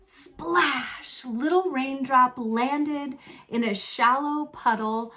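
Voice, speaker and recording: A woman with a fairly high voice reads a picture book aloud for young children. Her delivery is very unhurried and deliberate, with simple phrasing that suits a storytime narration. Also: pushed and bright, slight background noise.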